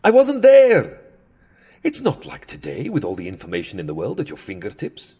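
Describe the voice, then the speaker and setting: A sardonic old vampire count for a comedy audio play, wildly swooping pitch, bit husky, fussy and wordy. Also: male voice, wildly swooping pitch, normal speed, dark tone, exaggerated voice. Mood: wry, chatty. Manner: theatrical, wry, fussily verbose. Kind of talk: comedic audio drama acting.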